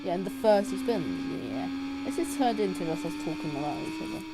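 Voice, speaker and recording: A woman commentating a live sim racing stream. She rambles quickly in casual chat and breaks into excited shouting at crashes. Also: fairly high pitch, loud music behind the voice, poor audio. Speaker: livestream race commentator. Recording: steady background noise and hum.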